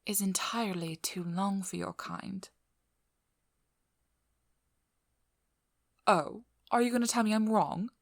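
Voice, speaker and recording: A woman playing a sharp-tongued, blunt vampire hunter in an ASMR role-play, speaking softly and breathily to the listener with a dry, probing manner. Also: medium pitch, creaky, dry recording, full character voice. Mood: insistent, exasperated.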